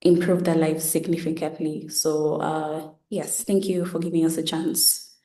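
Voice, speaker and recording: Low-voiced young woman, echoey. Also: little pitch movement, a little slow, dark tone. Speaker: student presenter. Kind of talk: semi-prepared project presentation. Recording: roomy, echoing online call.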